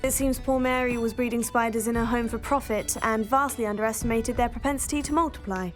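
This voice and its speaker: Woman as high-voiced British student witch. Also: fairly even intonation, fast talker, soft background music, natural voice. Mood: hesitant, tactful. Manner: courteous, composed. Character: polite, capable, earnest teenage witch student. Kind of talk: video game character dialogue.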